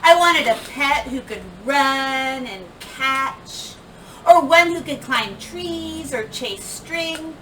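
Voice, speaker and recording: A woman reading a children's picture book aloud like a teacher, with expressive, emphatic delivery that acts out the words. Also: sits high, takes it slowly, soft background music. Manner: animated, emphatic delivery with acted-out dialogue and sound words. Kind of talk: expressive storybook read-aloud. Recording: roomy, distant microphone, clear background noise.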